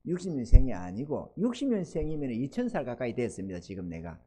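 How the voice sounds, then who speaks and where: A man in his sixties preaching a Sunday sermon as pastor, swinging between loud emphatic exhortation and soft asides, and asking the congregation for amens. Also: medium pitch, huge pitch swings, fast talker. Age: 60s.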